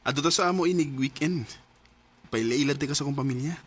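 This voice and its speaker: An actor playing an eager, emotive young boyfriend in a radio drama, with a Cebuano accent. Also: male voice, on the high side, quick pace, fairly bright tone. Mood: wooing. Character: Roy, eager young boyfriend, affectionate son and brother.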